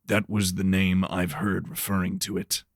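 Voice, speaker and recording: Man as a gruff, creaky-voiced weary detective. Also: low voice, completely flat delivery, moderate pace, dry recording, studio quality, voice pushed down. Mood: matter-of-fact. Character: weary, irritable male supernatural detective, brooding and gruff.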